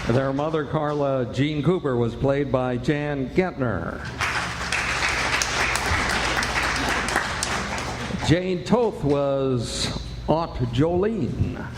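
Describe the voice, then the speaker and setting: A man's voice: fairly high pitch, takes it slowly, over a noisy crowd, very noisy. A man narrating a Western radio play as a genial, folksy storyteller. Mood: announcing. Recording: echoey hall, loud music behind. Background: music.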